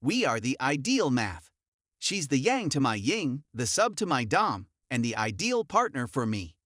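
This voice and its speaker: A man reading an online forum story aloud in the first person, in an even storytelling manner. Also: mid-range voice, measured pace, no room sound, clean studio sound. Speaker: narrator.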